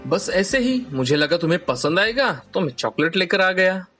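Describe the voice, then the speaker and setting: An actor voicing a caring, agreeable young husband in an animated moral story, polite and expressive. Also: mid-range voice, moderate pace, faint music behind. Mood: affectionate, easygoing.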